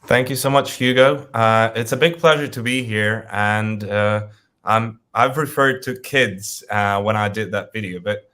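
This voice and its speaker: Low-voiced man presenting a webinar. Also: unhurried, pushed and bright. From Russia. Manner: hesitant, many fillers. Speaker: company founder presenting.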